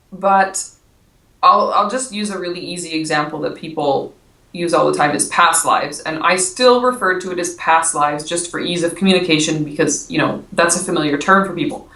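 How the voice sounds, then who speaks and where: Low-voiced woman, bright, in an echoey room. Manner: friendly, explains with simple examples, laughs easily. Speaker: guest, Akashic records consultant. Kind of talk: casual interview chat. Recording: echoey room, far from mic.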